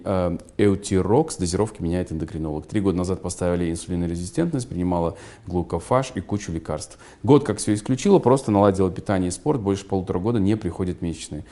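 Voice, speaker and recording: A man's voice: deep voice, fast, few pauses, warm tone. A man hosting an interview, giving short murmurs of agreement and reading viewers' questions aloud. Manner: brief listening murmurs, reads questions aloud. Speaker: interview host.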